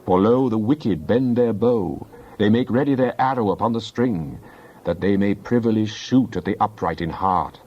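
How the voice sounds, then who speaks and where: A man giving a dramatized Bible reading in a solemn, declamatory manner with deliberate phrasing. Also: lowish voice, darkish tone, lo-fi sound. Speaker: scripture narrator.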